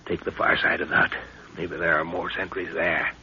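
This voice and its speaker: A man's voice: low-pitched, flat delivery, moderate pace, vocal fry, sharp tone, exaggerated voice. A middle-aged man playing a resolute father and jungle adventurer, clipped, urgent and commanding, in 1930s radio drama style. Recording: dull, hissy 1930s radio recording.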